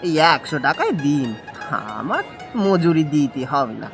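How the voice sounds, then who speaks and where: Humble cartoon fish seller, played by a man: high, bright, very animated, deferential. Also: normal speed, soft background music, heavily acted. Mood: polite, eager. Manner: deferential, imploring, calls out like a vendor. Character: humble, pleading village fish seller, a working father. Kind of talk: cartoon voice acting in Bengali village story.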